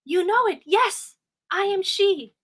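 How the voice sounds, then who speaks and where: A woman voicing a determined young maiden, pure of heart, speaking in a pleading, high voice. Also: lively intonation, slow pace, fairly bright tone, heavily acted. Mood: polite.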